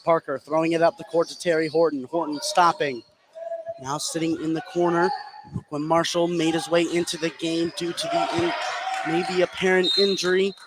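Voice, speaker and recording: A man with a fairly high voice calls a live basketball game play by play. Clear background noise from the gym runs under his commentary, and his delivery lifts excitedly when the action heats up. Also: normal speed. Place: indoor basketball gym at a tournament. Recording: noisy gym behind live broadcast.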